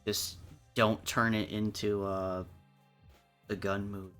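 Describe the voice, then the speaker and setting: A man's voice: fairly low pitch, little pitch movement, takes it slowly, a bit bright. A man hosting a stream, chatting casually about games in an opinionated way with some swearing. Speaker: stream host. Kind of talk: casual gaming chat. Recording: music playing underneath. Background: music.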